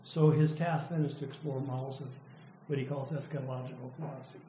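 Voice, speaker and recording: An older man giving an academic lecture, his voice going hoarse from overuse, speaking thoughtfully with halting restarts. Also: medium pitch, fairly even intonation, dark tone. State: losing his voice, clearing throat. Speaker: professor presenting a student's work. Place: lecture room. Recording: echoey room with faint noise.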